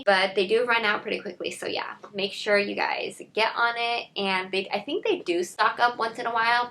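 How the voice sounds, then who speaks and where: A woman in her twenties, a little raspy today, gushing through a casual unboxing vlog in her bedroom with lots of filler words. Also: medium pitch, fairly bright tone. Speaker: beauty and fashion vlogger. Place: bedroom, indoors.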